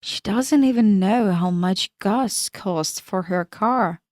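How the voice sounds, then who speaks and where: A woman's voice: medium pitch, slow pace, darkish tone, no room sound, clean studio sound, lightly acted. A woman reading the lines of a polite, well-read married man in a storybook narration, with little change from her own voice. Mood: explaining.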